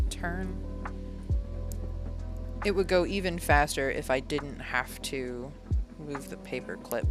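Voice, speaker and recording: A woman in her thirties chatting casually on a live stream, rambling and laughing at herself as she goes. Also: low voice. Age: 30s. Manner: rambling, self-amused, laughs often.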